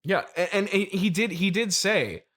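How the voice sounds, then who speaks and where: Lively, straight-faced male interviewer. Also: sits high, moderate pace. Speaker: interviewer, podcast host. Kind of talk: improvised comedic interview.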